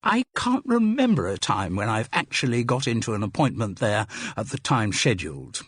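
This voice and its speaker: A man's voice: on the low side, very animated, moderate pace, husky, no room sound. A man voicing a prim, fussy older British woman who complains in a clipped way, in an audiobook. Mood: grumbling. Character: prim, sensible older woman, grumbling and reserved.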